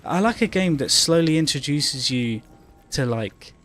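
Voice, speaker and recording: A man's voice: on the high side, lively intonation, a little slow, fairly bright tone. A man co-hosting a live game stream in casual, rambling, sweary chat. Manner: rambling, hesitant phrasing, sweary. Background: game music.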